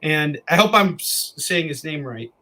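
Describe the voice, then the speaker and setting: A man from the Chicago area, a comics creator talking as a guest in a casual interview, friendly and earnest, often pausing on ums and uhs. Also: a little high, animated, pushed and bright.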